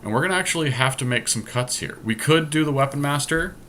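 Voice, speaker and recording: A man's voice: a little high, expressive, quick pace, a bit bright, slight background noise. A man giving casual strategy commentary as a podcast host during a card game draft, deliberating aloud as he goes.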